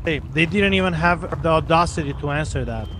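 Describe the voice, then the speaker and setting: A man's voice: on the high side, a little fast. A man playing an online roleplay game, talking in casual, urging slang. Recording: clear background noise over game chat.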